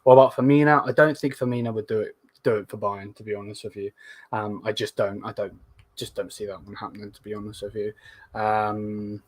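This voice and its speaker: Man, low voice, a bit hoarse, rambling live-stream chat, lots of fillers, dry close sound. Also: brisk, no echo. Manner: rambling, many fillers, relaxed and hesitant. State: slightly hoarse. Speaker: host of a live fan stream. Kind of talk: casual live-stream chat reading comments.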